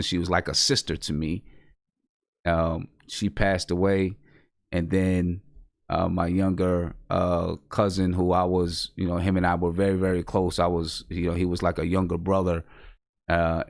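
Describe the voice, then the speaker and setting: A man hosting an interview, talking in a halting way full of fillers. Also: low voice, gravelly, no room sound, studio quality. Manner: halting, many "you know" fillers. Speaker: radio show host. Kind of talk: casual interview chat.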